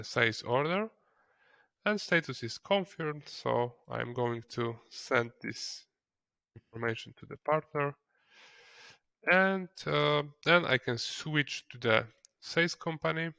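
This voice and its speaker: A non-native English-speaking man narrating a software tutorial step by step, in a hesitant way. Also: medium pitch, creaky, no echo. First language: not English. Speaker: software trainer.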